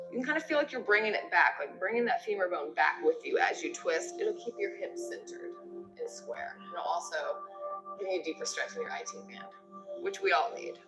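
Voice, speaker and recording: Echoey woman yoga instructor over music. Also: mid-range voice. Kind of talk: guided yoga class instruction, step-by-step cues. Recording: roomy echo with music behind.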